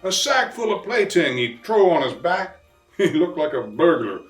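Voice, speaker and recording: A man voicing an excitable bayou papa who tells a rhyming Christmas storybook tale in a folksy, animated way with drawn-out emphasis. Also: a little high, voice pushed up. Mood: excited. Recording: roomy, distant mic, faint music.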